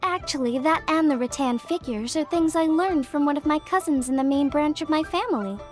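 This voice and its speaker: A woman's voice: high-pitched, fairly quick, close to an everyday voice. A young woman voicing an earnest craftswoman from a fortune-telling clan, explaining things in a modest, careful way. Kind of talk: video game voice acting. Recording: game cutscene with faint music.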